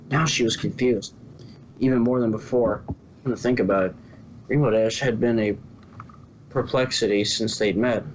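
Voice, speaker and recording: A man narrates a story from a script in a mid-pitched voice. The recording is a little dull and lo-fi, with faint noise behind him. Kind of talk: scripted fan fiction narration.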